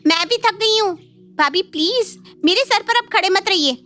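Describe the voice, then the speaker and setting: Lady doing pouty entitled young cartoon daughter, really high voice, always complaining. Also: brisk, pushed and bright. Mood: offended, whiny. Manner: whiny, complaining. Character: pouty, entitled married daughter visiting her parents' home. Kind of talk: Hindi cartoon family drama acting. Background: faint background music.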